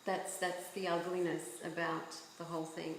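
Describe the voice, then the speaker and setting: A woman's voice: lowish voice, little pitch movement, normal speed, roomy sound, a little hiss or hum. A woman from Yugoslavia, a book author, talking earnestly as an interview guest and making her points with rhetorical questions. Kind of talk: casual interview chat.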